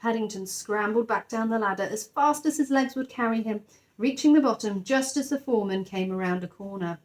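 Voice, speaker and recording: A woman's voice: mid-range voice, fairly quick, a little mellow, low-quality recording. A woman narrating a children's story expressively, like a teacher reading aloud to her class.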